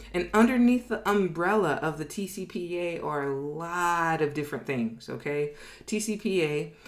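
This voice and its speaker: A woman with a slightly low voice teaches informally, like a business educator at a board. She is conversational and emphatic, tossing in little check-in tags and voicing imagined quotes as she explains. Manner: conversational, emphatic, frequently checks in with "okay?" and "right?".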